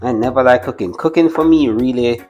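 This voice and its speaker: A man's voice: medium pitch, brisk, darkish tone, lo-fi sound, soft background music. A Jamaican man from the island's countryside, talking casually through a cooking demonstration and often repeating words as he starts a thought. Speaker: guest cook.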